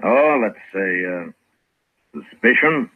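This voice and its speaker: An American man playing a calm, wily Old West lawman with dry, unhurried understatement in 1950s radio Western style. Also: on the low side, no echo. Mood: dry, needling. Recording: muffled, narrow-band old radio recording.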